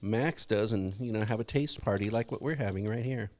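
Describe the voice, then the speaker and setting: A man's voice: on the low side, fairly quick, a crowd in the background. A man co-hosting a podcast, chatting casually and jokily in a rambling way while he tastes snacks. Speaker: podcast co-host.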